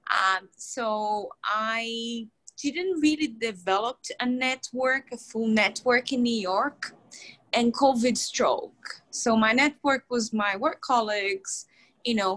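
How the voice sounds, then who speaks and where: A woman's voice: fairly high pitch, poor audio. A woman from Brazil, introducing herself as a new board member on a video call, chatty with frequent laughs and restarts. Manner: chatty and warm, laughs often, many restarts.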